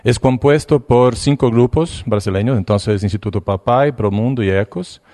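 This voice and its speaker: A man from Brazil with a Brazilian Portuguese accent, whose first language is Portuguese, giving a slide presentation in a hesitant, halting way with frequent restarts. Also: medium pitch, mellow. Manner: hesitant, pausing, restarts words. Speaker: conference presenter.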